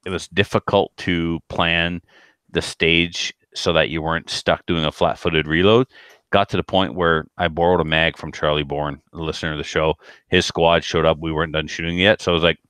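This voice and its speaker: A man's voice: rumbling low, a bit bright, clear, resonant tone, no room sound, clean studio sound. A man co-hosting a podcast, telling anecdotes casually with a self-deprecating manner. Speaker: podcast co-host and competitive shooter.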